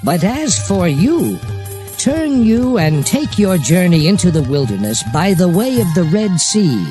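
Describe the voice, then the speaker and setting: A man's voice: high voice, sing-song, measured pace, dark tone, soft background music. A man playing a solemn prophet who recounts God's commands in a dramatized scripture reading, with emphatic oratory. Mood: stern. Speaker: Bible narrator.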